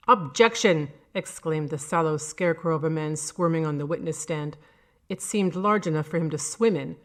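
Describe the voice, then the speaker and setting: A woman reading a short story aloud as a dramatic audiobook narrator. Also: lowish voice.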